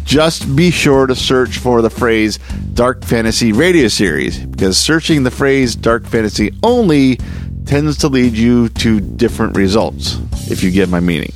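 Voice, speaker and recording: A man's voice: mid-range voice, lively intonation, normal speed, faint music behind. A man hosting a podcast, speaking directly to listeners as a presenter. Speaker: podcast host.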